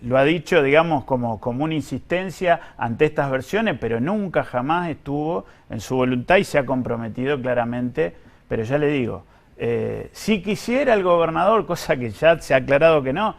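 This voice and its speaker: A man's voice: on the high side, moderate pace, creaky. An Argentine man answering in an interview as an official, explaining in a hesitant way and often repeating himself. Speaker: guest, pension fund director.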